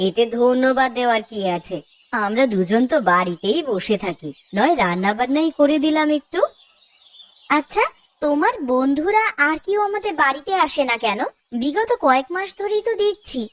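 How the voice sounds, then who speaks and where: Woman acting a swooping high-voiced village wife. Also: expressive, normal speed, slight background noise. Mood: doting, chiding. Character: caring, inquisitive village wife, doting and scolding. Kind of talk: cartoon dialogue.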